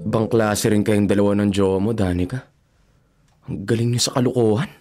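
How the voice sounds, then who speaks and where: A man's voice: lowish voice, steady tone, a little fast, loud music behind the voice, natural voice. A man playing a wry, gossipy brother in a radio drama, with a teasing, sardonic manner.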